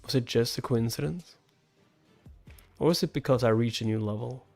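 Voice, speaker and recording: A man's voice: medium pitch, moderate pace, vocal fry, darkish tone. A man doing a live read-along, switching between narrating a comic and giving incredulous casual asides. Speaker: reaction host reading a webcomic aloud. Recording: music behind the voice. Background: background music.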